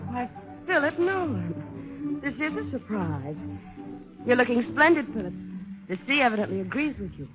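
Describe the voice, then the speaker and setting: An actress playing a poised, coolly polite former sweetheart, genteel and guarded, in 1950s radio drama style. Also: female voice, on the high side, sing-song, moderate pace, long pauses, over music, rough recording.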